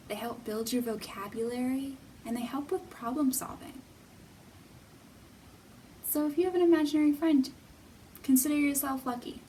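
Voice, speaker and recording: Slow-paced woman teaching young children. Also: a little high, darkish tone, far from the mic, a little hiss or hum, rough recording. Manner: simple, deliberate, teacherly phrasing with pauses. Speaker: children's library storytime host. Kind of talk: children's storytime lesson with questions to young viewers.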